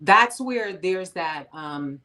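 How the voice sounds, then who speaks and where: A woman host from New with a low voice. She speaks in a lively, emphatic and slangy way, leaning into her points in casual conversation. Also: slow pace. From New York. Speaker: podcast host.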